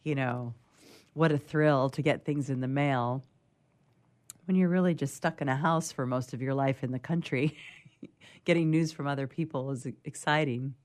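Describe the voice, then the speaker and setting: A woman's voice: extremely low pitch, steady tone, normal speed, a little mellow. A woman speaking casually as a panelist in a discussion.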